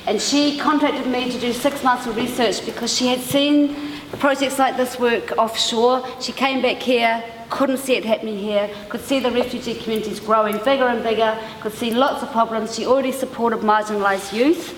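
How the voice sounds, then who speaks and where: A woman's voice: on the high side, steady tone, normal speed. Woman speaking in an echoey, noisy hall. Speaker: arts project leader. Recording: echoey hall with clear background noise.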